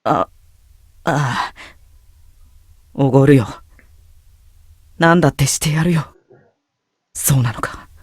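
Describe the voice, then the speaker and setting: A man's voice: mid-range voice, normal speed, pauses often, breathy edge, no room sound, clean studio sound. A man voicing a gullible, melodramatic teenage schoolboy as the comic sidekick in a Japanese visual novel. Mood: tearfully solemn. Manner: melodramatic, swinging from shrieks to mournful murmurs.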